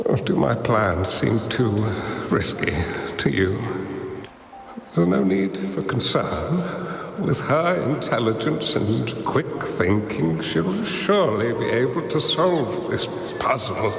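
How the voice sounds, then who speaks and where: A man playing a gentle, resigned old master strategist in a video game, speaking solemnly with a gravelly voice. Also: medium pitch, exaggerated voice. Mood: solemn reassurance. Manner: slow, measured, solemn. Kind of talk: scripted game voice acting. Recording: long echoing reverb, music underneath. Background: music.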